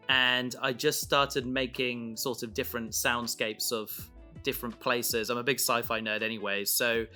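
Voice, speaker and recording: A man chatting casually and reflectively as a podcast creator, haltingly thinking aloud as he goes. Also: medium pitch, sharp tone.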